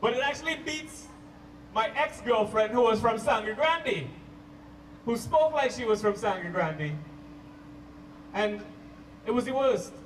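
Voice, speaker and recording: A man with a Canadian accent performing stand-up comedy to a live audience, telling stories playfully with drawn-out emphasis and pauses. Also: extremely high pitch, animated, echoey room. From Toronto, Canada. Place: live comedy show at a park venue. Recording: distant mic, hissy live-show camcorder sound.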